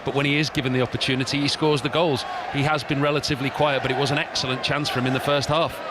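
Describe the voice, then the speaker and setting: A man's voice: medium pitch, level tone, rapid-fire. A man giving expert co-commentary on a football match, analysing the play and criticising poor finishing. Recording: noisy background.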